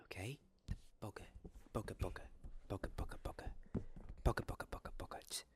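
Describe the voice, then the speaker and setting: A young man playing a kind, gentle wizard professor in a soft-spoken ASMR roleplay, soothing and full of pauses. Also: lowish voice, close to an everyday voice. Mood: soothing, hushed. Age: young adult. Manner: slow, soothing, pausing, repeats words softly. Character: kind, gentle male wizard professor teaching defense class.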